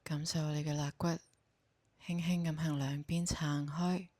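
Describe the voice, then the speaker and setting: A woman with a Hong Kong Cantonese accent guides a meditation in a soft, breathy voice, speaking calmly and giving gentle instructions. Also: deep voice, no echo. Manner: slow, calm, gently instructing.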